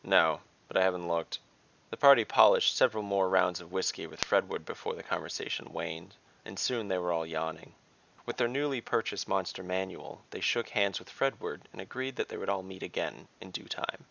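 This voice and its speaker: A man's voice: low voice, a little fast, vocal fry, a bit bright, dull audio. A man reading scripted narration as the narrator and host of a story podcast.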